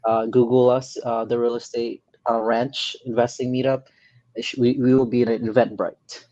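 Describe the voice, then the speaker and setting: A man from the Philippines, a real estate investor, talking as a guest in a casual interview, earnest and soft-spoken with many pauses. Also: mid-range voice, flat delivery, no room sound, muffled recording.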